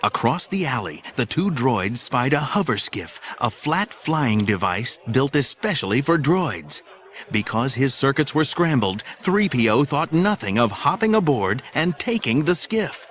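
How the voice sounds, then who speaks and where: A man's voice: mid-range voice, sing-song, unhurried, a bit bright. A man narrating a scripted adventure drama in a theatrical, suspenseful way, with music behind him.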